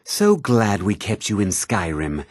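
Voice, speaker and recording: Self-satisfied fantasy game emperor: grand, measured, low, animated. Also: male voice, no room sound, studio quality, exaggerated voice. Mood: pompous, pleased. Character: pompous, self-satisfied emperor savoring fine dining. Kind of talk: fantasy role-playing game dialogue.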